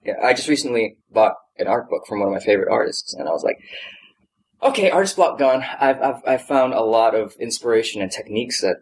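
A man's voice: mid-range voice, animated, fairly quick, slight background noise, poor audio. A young man from Hawaii, a voice actor, chatting casually and energetically in an interview, slipping into jokey quoted asides. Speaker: voice actor guest.

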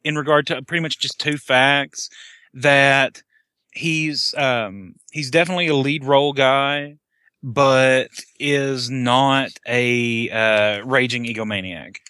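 A man's voice: medium pitch, slow pace, pushed and bright, clear, resonant tone, no room sound. A young man co-hosting a casual podcast chat, hesitant and full of ums. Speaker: podcast co-host.

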